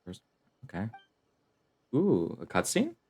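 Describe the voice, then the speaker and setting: A man streaming a game with casual, wry, deadpan commentary. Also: deep voice, takes it slowly, gravelly, crowd noise. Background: faint game music.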